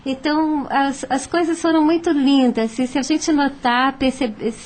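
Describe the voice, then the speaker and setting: A Brazilian woman talks in a clearly high-pitched voice. She shares her reflections in an unscripted discussion, putting her thoughts together as she goes. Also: fairly even intonation, unhurried, a little mellow.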